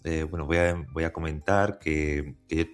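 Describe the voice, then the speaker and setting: A man's voice: very deep voice, fairly even intonation, normal speed, clean tone. A man hosting a conversational podcast interview, talking with many hesitations and restarts. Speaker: podcast host.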